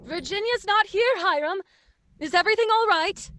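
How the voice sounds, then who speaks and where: Hysterical fretful mother, extremely high pitch, melodramatic, old-time radio drama. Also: moderate pace, pushed and bright, slight background noise. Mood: worried. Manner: melodramatic, distraught. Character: fretful upper-class American mother, easily hysterical. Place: small dark recording booth. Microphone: close studio microphone.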